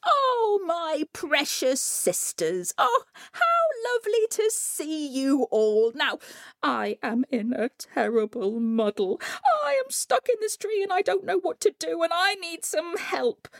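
Woman playing a high-pitched theatrical trickster coyote. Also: big pitch swings, unhurried, no echo, studio quality, heavily acted. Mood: pleading, flattering. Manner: exclaiming, wheedling, melodramatic. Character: male trickster coyote, part man part dog, theatrical and pleading. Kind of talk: live oral storytelling.

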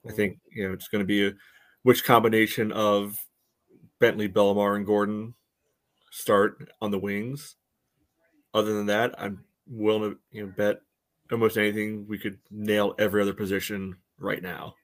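Low-voiced man giving casual sports analysis. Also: level tone. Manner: halting, peppers speech with "you know". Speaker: podcast co-host. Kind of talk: casual soccer analysis chat.

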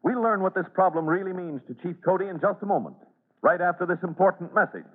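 A man's voice: sits high, animated, quick pace, slight background noise. A man narrating a scripted radio drama as announcer, emphatic and declamatory in 1940s style. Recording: narrow-band old radio broadcast.

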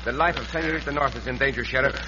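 Old radio western: male actor as bold, courteous outlaw hero, Mexican accent, quick delivery, noisy vintage recording. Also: medium pitch, dull audio, close to an everyday voice. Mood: urgent.

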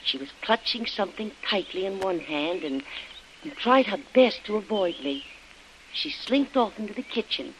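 A woman's voice: mid-range voice, lively intonation, moderate pace, close to an everyday voice. A woman playing an anxious young wife who earnestly recounts events in a vintage radio drama. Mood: earnest recollection. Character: Helen, anxious young wife recalling her ordeal. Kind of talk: 1940s radio drama acting. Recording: muffled, noisy old radio recording.

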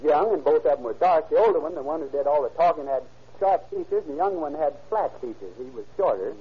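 Excitable, fast-talking witness, old radio. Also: male voice, on the high side, husky. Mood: earnest. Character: eager, shocked male cab driver witness. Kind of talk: old-time radio crime drama acting. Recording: muffled old radio broadcast recording.

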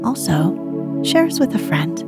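A woman with a breathy, hushed voice reading bedtime fiction as a podcast host, gently and with measured phrasing. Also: medium pitch, very animated, over loud music. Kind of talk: soft, soothing bedtime story reading.

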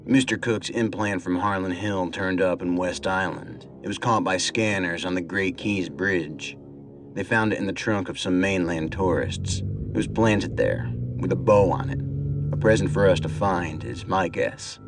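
A man's voice: sits low, unhurried, vocal fry, over music. A man playing a no-nonsense, easily exasperated federal agent in an audio drama, with a terse, dry delivery. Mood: stern.

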